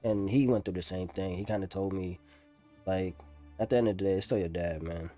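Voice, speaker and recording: A young man talking casually over gameplay as a streamer, candid and slangy, in a halting way. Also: sits low, mellow, soft background music. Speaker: gaming streamer.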